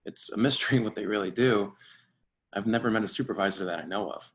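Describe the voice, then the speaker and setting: Man playing low-voiced, by-the-book surveillance agent. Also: quick pace, noisy background, lightly acted. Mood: dry. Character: dry, by-the-book male surveillance agent and mentor. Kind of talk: screenplay table reading.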